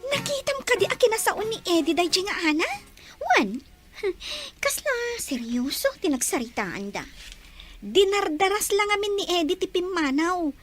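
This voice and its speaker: A woman plays a young female student in an audio drama. Her voice is extremely high and plaintive, with an expressive, self-doubting manner that shows her insecurity about her looks. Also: measured pace, exaggerated voice. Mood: gossipy, whiny. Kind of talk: Ilocano audio drama acting.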